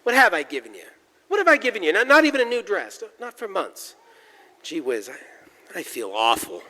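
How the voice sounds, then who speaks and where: Guy doing an earnest flustered small town young man, stuttery, bright lively voice, 1940s radio play. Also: sits high, moderate pace, full character voice. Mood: despondent. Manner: stammering, folksy. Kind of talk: 1940s radio drama acting. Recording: live church hall, long reverb.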